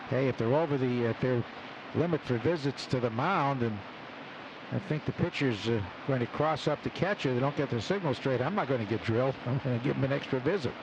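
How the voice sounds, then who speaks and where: A man calling live baseball play-by-play on the radio in short, clipped phrases packed with stats between pitches. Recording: noisy live outdoor radio broadcast. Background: loud ballpark ambience.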